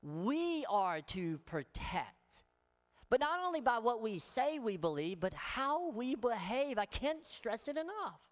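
A woman's voice: on the high side, very animated, takes it slowly. A woman pastor with a Southern accent, preaching a sermon in an earnest, emphatic way and asking many rhetorical questions. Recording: long hall reverb.

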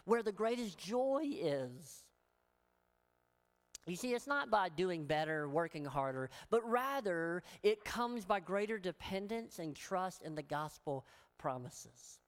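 A woman pastor with a Southern accent, preaching a sermon in an earnest, emphatic way and asking many rhetorical questions. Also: fairly low pitch. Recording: long hall reverb.

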